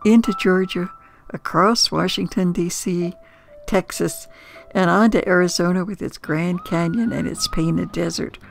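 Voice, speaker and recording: Older American woman storyteller, creaky voice. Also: on the low side, soft background music. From United States. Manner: reflective, conversational, unhurried with pauses. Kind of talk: nostalgic first-person storytelling.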